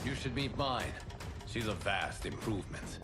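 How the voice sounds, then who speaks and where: An actor playing a confident fighter in a fantasy video game. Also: male voice, lowish voice, expressive, moderate pace, natural voice. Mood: wry. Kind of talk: dramatic video game acting. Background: dramatic music.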